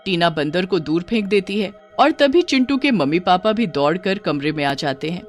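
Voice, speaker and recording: A woman's voice: lowish voice, lively intonation, moderate pace, soft background music. A woman narrating a scripted children's horror story.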